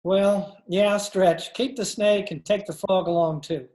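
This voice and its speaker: A woman's voice: medium pitch, fairly even intonation, measured pace, dark tone, roomy sound, natural voice. A woman playing a mild, earnest male biology teacher in an old-time radio comedy, literal in manner, recorded over a remote call.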